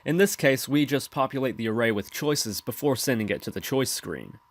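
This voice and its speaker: A man's voice: medium pitch, normal speed, no room sound. Male tutorial narrator, instructional scripted read, dry close-miked sound. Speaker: tutorial host.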